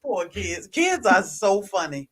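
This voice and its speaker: A woman's voice: medium pitch, big pitch swings, takes it slowly, crowd noise. A woman with an American accent chatting casually in a group.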